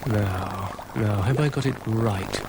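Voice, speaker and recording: A man's voice: on the low side, slow pace, very noisy. A man voicing a grumpy, self-important male creature who schemes like a mad scientist in a children's story, muttering pompously.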